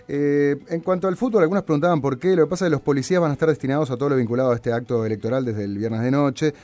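A man's voice: mid-range voice, dark tone. A man with a Uruguayan accent hosting a radio talk show, explaining at length with many fillers and tag questions. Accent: Uruguayan Spanish.

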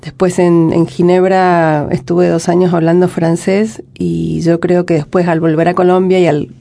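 A woman in her forties with an Argentine accent, a writer answering interview questions in a reflective way, often pausing and restarting. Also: fairly low pitch, level tone, vocal fry, dark tone. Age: 40s. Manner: reflective, talks in halting restarts. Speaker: guest, poet and writer. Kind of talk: casual interview conversation.

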